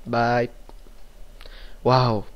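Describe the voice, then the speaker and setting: A man's voice: mid-range voice, little pitch movement, extremely slow, muffled recording. Brief: young Argentine guy, webcam vlogger, crude self-mocking banter, desk mic. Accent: Argentine Spanish. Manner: swears freely, self-mocking, jokey. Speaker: YouTuber. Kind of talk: casual webcam vlog commentary. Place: small room indoors at a desk. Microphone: computer webcam mic.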